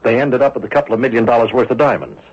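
An actor playing a gruff police inspector in a radio drama, blunt and exasperated, with a gravelly, husky voice. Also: male voice, medium pitch, lively intonation, rapid-fire. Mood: exasperated, insistent. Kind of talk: old-time radio drama acting. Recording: dull lo-fi radio recording.

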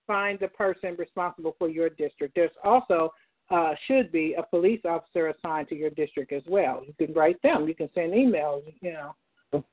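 A woman's voice: deep voice, normal speed. A woman hosting a call-in talk line, speaking directly and posing questions to her listeners. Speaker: host. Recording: tinny phone line.

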